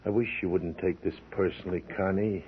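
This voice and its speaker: A man playing a smug, calm hired killer who muses condescendingly in an old radio crime drama. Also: sits low, husky. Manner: musing, condescending, unhurried. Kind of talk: 1940s-50s radio crime drama acting. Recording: tinny vintage radio recording.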